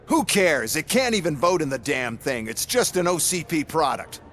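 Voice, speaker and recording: A smug, sarcastic corporate executive. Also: male voice, on the high side, big pitch swings, normal speed, a bit bright, faint music behind. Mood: dismissive. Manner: dismissive, sarcastic, salesman-like.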